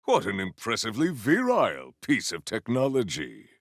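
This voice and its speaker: A man voicing a stoic, honourable warrior in a video game, speaking in formal, stately phrases with a gravelly voice. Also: lowish voice, wildly swooping pitch, no echo, clean studio sound, exaggerated voice. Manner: formal, stately, measured diction.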